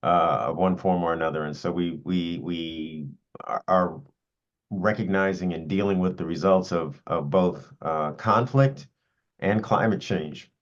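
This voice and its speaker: A man being interviewed, answering in a measured, halting and deliberate way. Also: sits low. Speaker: interview guest, organization leader. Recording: dull lo-fi audio.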